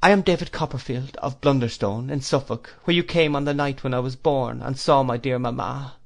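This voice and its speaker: A man's voice: mid-range voice, brisk, creaky, natural voice. A man reading a storybook and voicing a ragged runaway boy who pleads earnestly, close to tears. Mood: pleading.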